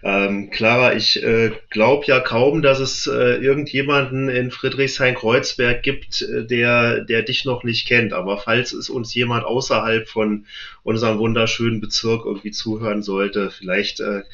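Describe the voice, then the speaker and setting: A man with a mid-pitched voice hosts a casual interview. His phrasing is halting, with repeated words as he builds a question. The recording sounds a little dull and lo-fi. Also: level tone, sharp tone. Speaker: interview host.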